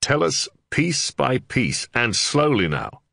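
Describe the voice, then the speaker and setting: A calm under-sheriff used to being obeyed, measured and commanding, played by a male narrator. The delivery is lively in pitch with a slight gravel. It has dry, close studio sound for a storybook audiobook. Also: no room sound, heavily acted. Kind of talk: audiobook character voice.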